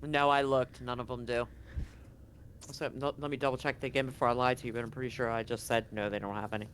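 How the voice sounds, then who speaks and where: A woman's voice: a bass voice, level tone, fast talker. Woman game master, blunt and conversational, noisy recording. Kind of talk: narrating a tabletop game, casual. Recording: noticeable background noise on live stream.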